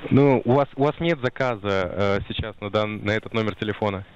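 Polite guy on a service line, kinda low voice, hesitant and apologetic, muffled call audio. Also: brisk, slight background noise. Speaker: freight company phone operator. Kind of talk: customer service phone call. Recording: dull phone call audio.

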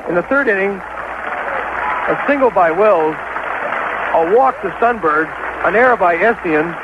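A high-voiced American man calls a baseball game in 1970s radio style. He is an excitable, openly partisan play-by-play announcer. The old broadcast recording sounds dull, with clear ballpark noise behind him. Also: takes it slowly. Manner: excitable, partisan home-team caller. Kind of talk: 1970s live radio sports commentary.

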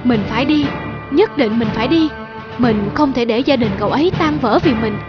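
A woman's voice: on the high side, moderate pace, lo-fi sound, lightly acted. A woman voicing a modest young male teacher in a cartoon folk tale, speaking sincerely and apologetically. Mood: sad resolve. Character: modest, self-respecting young male teacher, gentle friend. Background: music.

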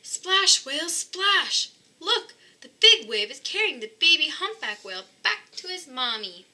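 A woman's voice: high voice, big pitch swings, measured pace, pushed and bright, pitched above the performer's own voice, full character voice. A woman voicing an eager, upbeat boy animal rescuer in a children's storybook, calling out to the listener. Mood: triumphant. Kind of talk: children's storybook read-aloud.

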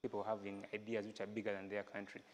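A man speaking in a short video testimonial, slowly and deliberately. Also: fairly low pitch, completely flat delivery. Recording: reverberant room with faint noise.

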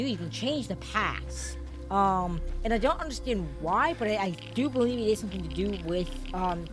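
Rambling streamer with cracking voice. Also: female voice, on the low side. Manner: rambling, filler-heavy, jumpy. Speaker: gaming streamer. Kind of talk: let's play commentary.

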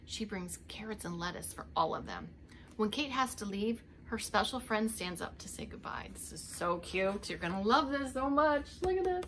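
Animated middle-aged woman reading to children. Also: medium pitch, normal speed, slight background noise. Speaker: preschool teacher reading aloud. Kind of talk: read-aloud children's storytime with asides. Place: indoors, home living room.

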